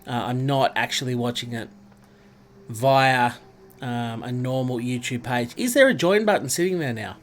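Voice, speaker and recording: Australian man, stuffy nasal voice, live-stream host, chatty, greets viewers by name, mid pitch. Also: moderate pace, a little hiss or hum. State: congested, nasal from a cold. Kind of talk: casual live-stream chat over gameplay.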